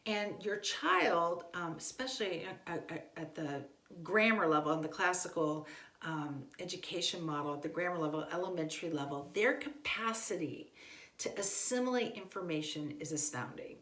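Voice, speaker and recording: A middle-aged woman with a fairly low voice talks as an instructor in an informal, conversational way. She repeats words for emphasis. The recording is clearly roomy and echoey. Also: normal speed, fairly bright tone. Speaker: homeschool instructor.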